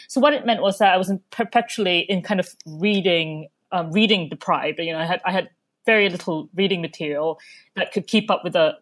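A woman from Malaysia, an author, casually recommending a book with many ums and restarts. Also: medium pitch.

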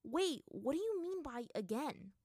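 A woman's voice: sits high, very animated, unhurried, darkish tone, dry recording, clean studio sound, voice pushed up. A woman voicing an impatient, hot-headed male Avatar who talks in a demanding, exasperated way in a story reading. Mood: angry, surprised.

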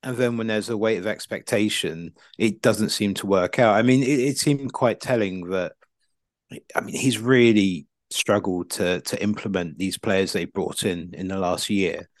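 A man's voice: lowish voice, normal speed, dry recording, clean studio sound. A man co-hosting a casual football chat, thinking aloud tentatively and qualifying his points as he goes. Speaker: podcast co-host.

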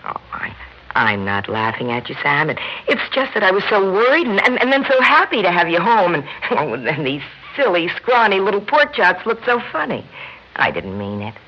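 An American actress playing an anxious, doting housewife in a radio drama, soothing and affectionate with some stammering. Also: female voice, on the low side, wildly swooping pitch, moderate pace, heavily acted. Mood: apologetic, soothing. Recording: muffled old radio drama recording.

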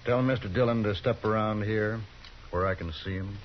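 An actor playing a calm, quietly threatening outlaw boss on an old 1950s radio drama. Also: male voice, on the low side, normal speed, natural voice. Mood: menacing. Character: cool, menacing outlaw boss. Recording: dull hissy 1950s radio broadcast.

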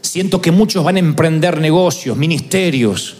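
A man in his fifties preaching a fervent sermon as pastor, building up to shouts. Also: on the high side, moderate pace. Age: 50s. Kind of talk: impassioned sermon.

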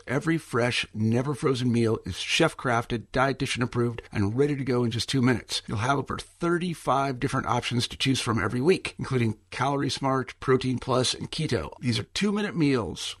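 A man, the show's host, reading a scripted sponsor ad in a smooth, even delivery. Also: mid-range voice, dry recording, clean studio sound. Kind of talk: read sponsor ad.

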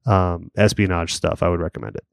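A man with a fairly low voice co-hosts a casual podcast, rambling and circling back through his points. The recording is dry and close, with no room sound. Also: steady tone, brisk, gravelly, no echo. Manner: rambling, lots of fillers and restarts. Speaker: podcast co-host. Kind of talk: casual chat.

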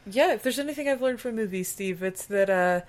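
A woman's voice: mid-range voice, lively intonation, fairly quick. A woman in a casual movie podcast, making dry sarcastic jokes. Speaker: podcast co-host.

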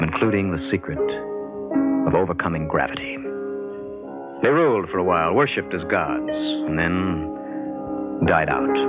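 Low-pitched man, hard-boiled adventurer narrator, deliberate dramatic pauses, vintage radio drama, muffled sound, prominent music. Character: hard-boiled adventurer recounting his strange, doomed expedition. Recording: muffled old radio recording.

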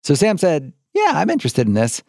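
A man's voice: a little high, wildly swooping pitch, brisk, vocal fry, a little mellow, no echo, studio quality. An American man hosting and narrating a podcast in a scripted but conversational storytelling style.

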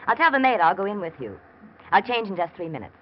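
Lively, coy actress as radio-drama socialite. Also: female voice, medium pitch, fast, natural voice. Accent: American. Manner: coy, probing, theatrical. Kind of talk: classic American radio drama acting. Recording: muffled, noisy old radio recording.

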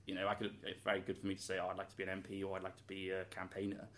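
Low, flat-voiced young man. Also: racing. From Wales. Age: young adult. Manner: earnest, thoughtful, with frequent hedges and restarts. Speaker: interviewee, student. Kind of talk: interview answers.

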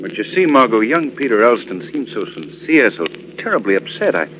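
An actor playing an urbane, thoughtful young gentleman detective in an old radio drama. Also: mid-range voice, very animated, fairly quick, close to an everyday voice. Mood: urbane, curious. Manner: urbane, musing. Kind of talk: 1940s radio drama acting. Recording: tinny, noisy old radio recording.

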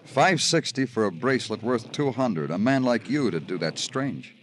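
An actor playing a world-weary, hard-boiled police detective in old radio noir, dry, sardonic and insistent. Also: male voice, mid-range voice, expressive, normal speed, a little hiss or hum, lightly acted. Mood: probing, sardonic. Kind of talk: 1950s radio noir acting. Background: music.

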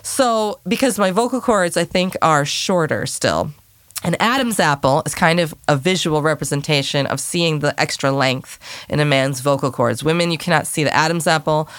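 A woman vocal coach with a low voice, chatting through viewer questions on singing and demonstrating now and then. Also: moderate pace, a bit bright, no echo. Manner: chatty, demonstrative, sings sample phrases.

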